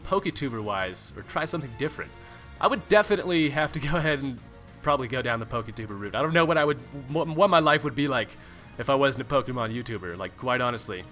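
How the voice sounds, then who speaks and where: Young male YouTuber, bright tone, laid-back and jokey, swears casually, light music bed. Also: on the high side. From California. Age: young adult. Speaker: YouTuber answering viewer questions. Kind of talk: casual Q&A voice-over. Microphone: home recording mic, no webcam.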